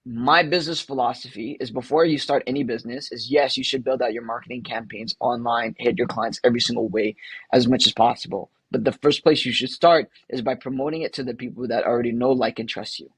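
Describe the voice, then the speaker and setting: A man from the Philippines, a guest entrepreneur telling long stories in an energetic, casual interview way. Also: mid-range voice, husky, no echo, low-quality recording.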